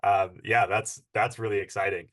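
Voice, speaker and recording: A man's voice: lowish voice, flat delivery, moderate pace, a bit bright. A man hosting a casual interview as a fitness coach, upbeat and encouraging as he asks his questions.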